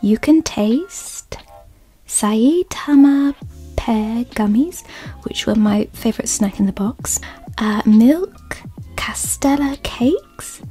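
A woman reading a sponsor segment in a soft, partly whispered ASMR style. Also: a little high, breathy edge. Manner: gentle, partly whispered, with soft tasting sounds. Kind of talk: soft-spoken ASMR ad read.

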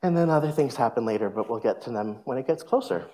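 A man, a minister, giving relaxed announcements from a lectern in a church sanctuary. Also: mid-range voice, fast, rough recording. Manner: relaxed, informal, with fillers.

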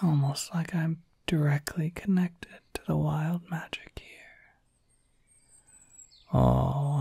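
A suave male incubus acted by a man speaks in a soft, very breathy whisper. He is sly and teasing, lingering slowly over his words. It is an intimate ASMR roleplay, close on the mic with a dry, dark sound. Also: a little high, no echo, heavily acted. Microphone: close microphone.

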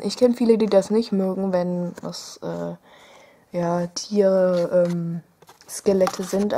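A young woman chats her way through a sticker unboxing, hesitant and full of fillers, with small giggly asides. Her voice is mellow and her pace unhurried. A faint hiss sits under the home desk recording. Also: medium pitch. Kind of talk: casual unboxing chat. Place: indoors at a desk, home room.

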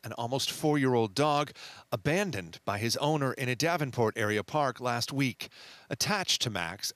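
A middle-aged man reporting live for the news outdoors, in a steady formal manner. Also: medium pitch, no room sound. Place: outdoors by a city building. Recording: outdoor street noise.

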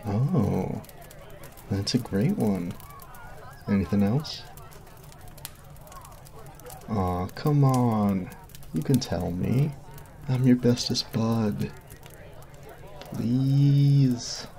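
A sweet, bashful college jock. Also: male voice, fairly low pitch, lively intonation, very slow, vocal fry, long pauses, warm tone, heavily acted. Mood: teasing. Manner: earnest, flustered, apologetic. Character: sweet goofy male college jock, best friend. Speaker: voice actor. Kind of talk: ASMR roleplay. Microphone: close binaural mic.

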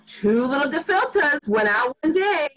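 Lady in her 40s doing storytime on a video call, sing-songy, slow n super animated. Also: sits high. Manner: expressive, sing-song, deliberate. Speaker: rabbi reading to preschoolers. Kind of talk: animated picture-book read-aloud for young children. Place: small furnished room at home. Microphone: computer microphone on a video call.

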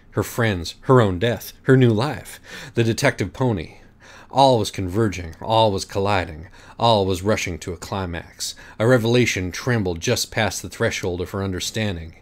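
Low-voiced man reading a story theatrically. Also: normal speed. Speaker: audiobook narrator. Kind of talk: dramatic scripted narration of a parody story.

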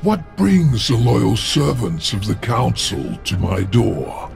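An actor playing a proud, scheming demon lord in a video game, with a grand, measured manner and a gravelly voice. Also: male voice, on the low side, sing-song, darkish tone, soft background music, exaggerated voice. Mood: haughty, amused.

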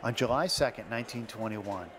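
A man's voice: lowish voice, fairly quick, heavy background noise. A man reading scripted documentary narration in a conversational storytelling manner with wry asides, as the host.

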